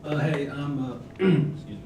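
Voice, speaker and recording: A man's voice: on the high side, lively intonation, normal speed. A young man with a big voice introducing himself on a panel in a jokey, upbeat way. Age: young adult. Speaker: panelist, agency partner. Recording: echoey room, distant mic, noisy.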